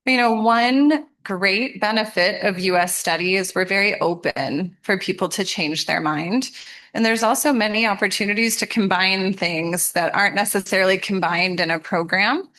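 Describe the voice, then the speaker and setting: A woman's voice: mid-range voice, moderate pace, a bit bright. A woman presenting a program to students, interactive and encouraging, often affirming what they say.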